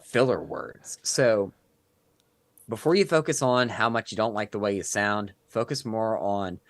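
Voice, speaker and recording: A man's voice: fairly low pitch, animated, unhurried, dry recording. A man with a nasal voice, a guest speaking casually in a podcast interview and giving advice. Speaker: guest content creator.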